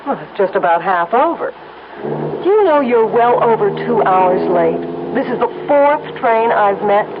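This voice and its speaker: A woman's voice: medium pitch, expressive, a little slow, natural voice. A woman playing a fed-up, sarcastic American suburban wife in a 1970s radio drama, tart and exasperated. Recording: tinny old radio, background hiss.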